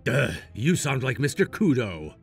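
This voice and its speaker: A man's voice: medium pitch, very animated, unhurried, creaky, slightly rough, soft background music, heavily acted. An actor playing an earnest, downbeat young male yakuza narrating in a scripted anime dub. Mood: earnest, subdued.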